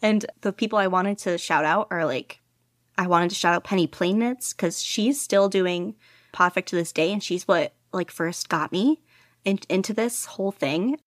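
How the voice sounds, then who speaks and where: A woman's voice: lowish voice, normal speed, no room sound, studio quality. A woman guest in a casual interview, talking eagerly about her hobby with many "like"s and laughs. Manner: gushing, lots of "like" and laughter. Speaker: guest podficcer.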